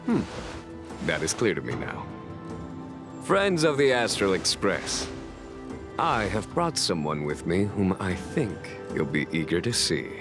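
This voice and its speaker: Deep, measured, courteous male general. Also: very animated, over music, heavily acted. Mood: composed, grave. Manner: formal, deliberate, measured. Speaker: voice actor. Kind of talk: scripted game cutscene dialogue.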